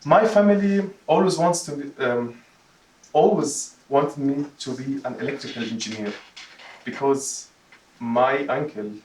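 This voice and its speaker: A man from Jordan delivers a personal speech in a roomy, echoing space. He is picked up from a distance, his voice mid-pitched, and he moves haltingly through his phrases. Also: a little mellow, slight background noise. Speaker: club member giving a first speech. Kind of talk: prepared personal speech to a club audience. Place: meeting room, recorded from a distance. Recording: roomy echo, distant microphone.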